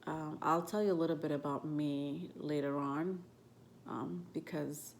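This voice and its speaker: Stuffed-up woman vlogger with a low voice, loose and rambling delivery, filler-heavy, slight room hiss. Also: steady tone, unhurried. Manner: rambling, frequent ums and self-corrections. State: stuffed up nose. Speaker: tarot and astrology vlogger. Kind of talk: casual talk to camera.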